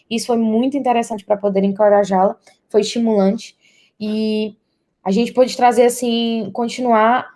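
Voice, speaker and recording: A woman's voice: a little high, a little mellow. Young Brazilian woman presenting a project. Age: young adult. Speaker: university student presenter.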